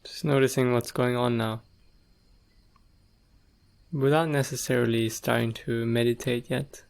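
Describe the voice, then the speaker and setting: Slow, creaky man guiding meditation. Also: mid-range voice, steady tone. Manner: gentle, unhurried, with long pauses. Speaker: meditation teacher. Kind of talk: guided meditation.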